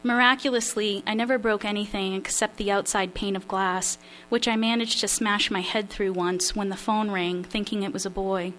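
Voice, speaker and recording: A woman reads from her own memoir at a literary event, her voice at a middle pitch. She is slightly nervous and self-deprecating, pausing with small fillers between passages. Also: steady tone, fairly bright tone, slight background noise. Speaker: memoir writer reading her work.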